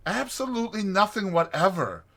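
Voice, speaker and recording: A man's voice: sits high, sing-song, normal speed, gravelly, fairly bright tone, pitched above the performer's own voice, heavily acted. A man voicing a naive, fussy male mole from a storybook, wordy and exclamatory in his delivery. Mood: sarcastic, pettish. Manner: wordy, indignant or gushing, exclamatory. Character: naive, fussy male mole, pettish yet easily amazed.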